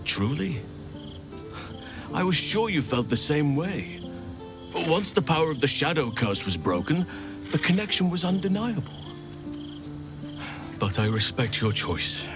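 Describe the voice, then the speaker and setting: An actor playing a gentle, long-lived druid in a video game, speaking earnestly in a measured, formal, tender way. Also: male voice, medium pitch, sing-song, over music. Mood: hurt surprise, then gracious resignation. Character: ancient, gentle, earnest male druid confessing love. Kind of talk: sincere video game acting.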